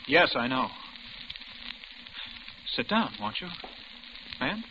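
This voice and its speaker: Slow-talking, nervous diner cook. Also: male voice, medium pitch, sing-song. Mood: uneasy, polite. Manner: polite, halting, subdued. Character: nervous, unsmiling, courteous male lunch-counter cook. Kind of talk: 1950s radio drama acting. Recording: noisy muffled old radio recording.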